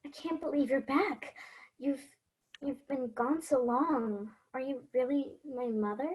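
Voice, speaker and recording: A woman's voice: sits high, a little slow, darkish tone, husky. A woman playing an earnest, hopeful little girl hero in a fairy-tale stage play. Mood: hesitant, moved. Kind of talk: stage play acting and storybook narration. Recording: dull, muffled lo-fi sound.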